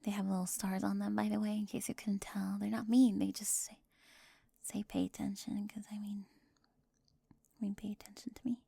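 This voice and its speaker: A woman playing a bossy, high-strung, organised teenage girl in an ASMR roleplay, fussy and emphatic, often dropping into breathy whispers. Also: level tone, moderate pace, mellow, no echo. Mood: vulnerable, anxious. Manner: fussy, emphatic, flustered, clipped.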